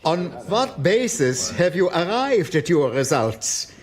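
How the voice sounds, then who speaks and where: An actor playing a formal, sceptical rocket expert, stiff and challenging, in a radio drama. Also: male voice, fairly high pitch, very animated, takes it slowly.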